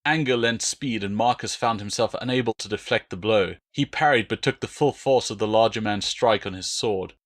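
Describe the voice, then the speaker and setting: A man's voice: on the low side, moderate pace, hardly any pauses, a bit bright. A man reading his own novel as a dramatic, scripted audiobook narration.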